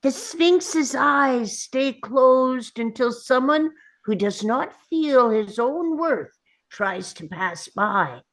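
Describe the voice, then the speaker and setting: A tiny old male gnome scientist, acted by a woman, speaks in a high, swooping voice at an unhurried pace. He is vain, fussy and impatient, a self-important professor in a fantasy script reading. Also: lively intonation. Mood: self-important, pompous. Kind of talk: animated table-read acting.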